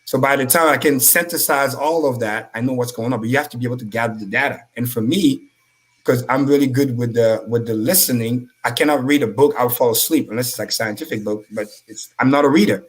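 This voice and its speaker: A man whose first language is French, giving high-energy, profane motivational talk as a livestream co-host. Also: fast, poor audio.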